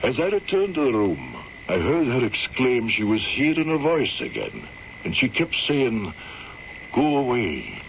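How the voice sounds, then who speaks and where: An actor playing a courteous, reassuring Scottish country doctor with a calm, deliberate manner in an old-time radio drama. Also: male voice, medium pitch, gravelly, full character voice. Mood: grave. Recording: dull old radio broadcast, some noise.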